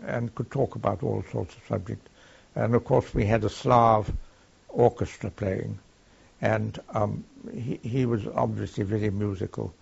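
An older man with a gravelly, husky voice, recalling his wartime service haltingly, with many pauses and fillers. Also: fairly low pitch, darkish tone. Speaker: war veteran recounting service. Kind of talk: oral history recollection. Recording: dull lo-fi archive tape.

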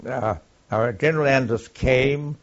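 An older man with a gravelly, husky voice, recalling his wartime service haltingly, with many pauses and fillers. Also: mid-range voice. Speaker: war veteran recounting service. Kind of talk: oral history recollection. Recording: dull lo-fi archive tape.

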